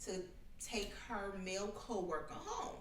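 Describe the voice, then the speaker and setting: A woman's voice: mid-range voice, sing-song, unhurried, a crowd in the background. A woman giving casual, sassy commentary on reality TV gossip, with colloquial, mock-dramatic delivery. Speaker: co-host. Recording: roomy echo, faint noise.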